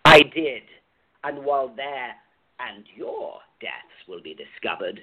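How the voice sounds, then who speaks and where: A man's voice: medium pitch, wildly swooping pitch, takes it slowly, gravelly, a crowd in the background, full character voice. A man playing a menacing, cold club president who gives sneering commands, on a tinny phone-like line. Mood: commanding. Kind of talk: amateur radio play acting.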